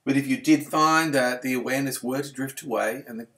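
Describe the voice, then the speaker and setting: A man with a medium-pitched voice leads a guided relaxation as a yoga instructor. He is calm and unhurried, offering each instruction gently and soothingly.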